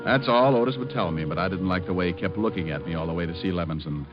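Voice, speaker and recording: A deep-voiced, unflappable gumshoe played by a man from an old radio noir. He is dry and flippant, as if nothing impresses him. The sound is dull and dated. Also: fast talker, over loud music, lightly acted. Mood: weary narrating. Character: wisecracking, unflappable hard-boiled private detective. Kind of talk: 1940s-50s radio detective acting and noir narration. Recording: muffled vintage radio recording.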